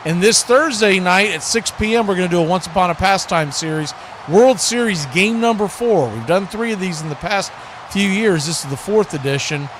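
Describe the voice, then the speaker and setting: A man hosting a live football watch party, giving play-by-play in a folksy, conversational way. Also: high voice. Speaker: play-by-play host. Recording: steady background noise.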